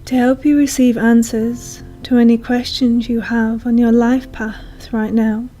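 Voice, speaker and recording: Very slow, soft woman guiding meditation. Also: fairly high pitch. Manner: slow, soothing, hushed, with long pauses. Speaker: meditation guide. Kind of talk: guided meditation. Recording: soft music behind voice. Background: calm meditation music.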